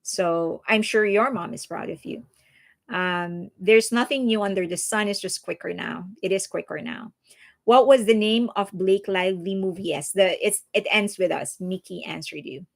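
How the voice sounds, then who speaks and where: A woman's voice: mid-range voice, moderate pace, gravelly. A woman from the Philippines with a Filipino accent, hosting a casual livestream and answering viewer comments in a chatty, earnest way. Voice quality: chatty, earnest, frequent restarts and fillers. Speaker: livestream host.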